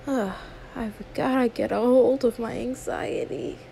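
A woman's voice: fairly high pitch, a little slow, creaky, warm tone, a little hiss or hum. A woman voicing an anxious, sweet young female YouTuber in an audio roleplay, stammering, awkward and apologetic. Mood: anxious muttering. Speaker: ASMR roleplay performer. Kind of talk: one-sided ASMR audio roleplay.

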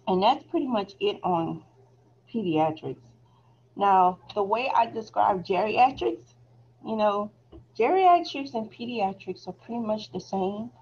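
A soft-spoken woman teaching a relaxed phlebotomy review lecture over a video call, chatty and laughing easily. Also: mid-range voice, slow, pauses often, a little hiss or hum. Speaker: phlebotomy instructor. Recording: slightly dull video call audio.

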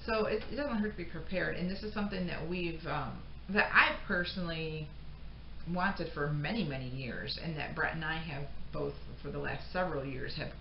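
A woman's voice: low-pitched, moderate pace, vocal fry. A middle-aged American woman chatting casually to camera as a homestead vlogger, in a rambling, unscripted way that often breaks off mid-thought. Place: indoor pantry room with shelves of jars. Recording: dull, echoey room sound with faint noise.

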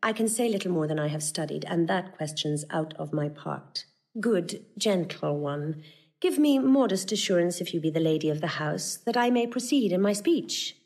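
A woman's voice: on the low side, animated, normal speed, a little mellow. An actress playing a saucy, eloquent young woman disguised as a male messenger, in a declamatory, courtly classical Shakespearean style. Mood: earnest, playful. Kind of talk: classical stage acting of Shakespeare.

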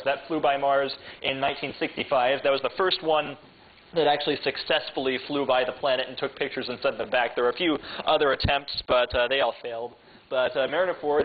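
A young man giving an informal slide talk to a club in a classroom, holding a handheld mic, chatty and full of fillers. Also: medium pitch. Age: young adult. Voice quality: loud voice. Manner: loose and chatty, many fillers. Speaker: club speaker. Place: classroom with projector screen. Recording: tinny lo-fi, far from camera.

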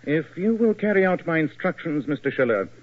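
An actor playing a coolly assured British detective in an old radio drama, precise and authoritative. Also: male voice, fairly high pitch, big pitch swings, fairly quick. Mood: coolly deductive. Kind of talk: 1940s radio drama acting. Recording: muffled old radio broadcast.

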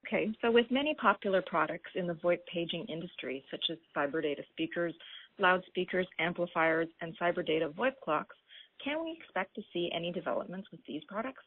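A woman's voice: on the low side, lively intonation, brisk, breathy edge, no room sound. A woman interviewer putting prepared questions to a guest. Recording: narrow muffled phone line.

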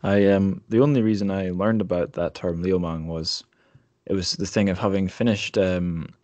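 Male podcast host, lower-pitched, wry and understated, casual interview chat, close dry sound. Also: steady tone, normal speed, darkish tone, dry recording.

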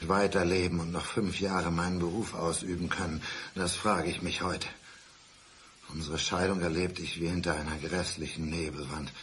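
An actor playing a calm, embittered, menacing ex-husband in a radio crime play, speaking with measured, mock-gentle politeness. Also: male voice, deep voice, a little hiss or hum. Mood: bitter, brooding. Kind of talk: 1990s German radio crime play acting.

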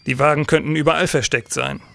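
An actor playing a stern, formal police senator in a nineteenth-century crime audio drama. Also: male voice, fairly high pitch, steady tone, fast talker, vocal fry, a bit bright, no echo. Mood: sceptical. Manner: formal, grave. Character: stern, authoritative police senator of 1870s Germany.

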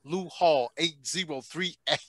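A man's voice: fairly high pitch, measured pace, a bit bright, no echo. A young American man co-hosting a podcast, chatting casually into a headset. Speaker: podcast co-host. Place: indoor room, video call.

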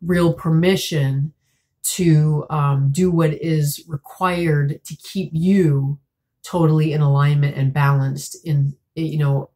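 Low-voiced woman, congested with a cold. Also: fairly even intonation, takes it slowly. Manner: soft, musing, many fillers and restarts. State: congested from a cold, slightly raspy. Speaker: tarot reader. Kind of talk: intuitive tarot reading to camera. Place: small room at home, indoors.

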